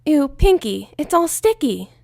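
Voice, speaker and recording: A woman's voice: high voice, very animated, a little slow, vocal fry, darkish tone, no room sound, studio quality, voice pushed up, heavily acted. A woman voicing a studious female unicorn librarian who speaks in an exasperated, scolding tone.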